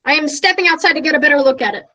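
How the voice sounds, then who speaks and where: A woman playing an earnest, melodramatic, easily flustered radio news reporter in a comic radio play, halting and polite. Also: high-pitched, bright, forceful tone. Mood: breathless awe.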